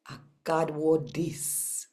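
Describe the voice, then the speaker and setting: Very deep, unhurried Jamaican woman. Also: fairly even intonation, mellow. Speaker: channel host and scripture reader. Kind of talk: devotional reading and channel welcome.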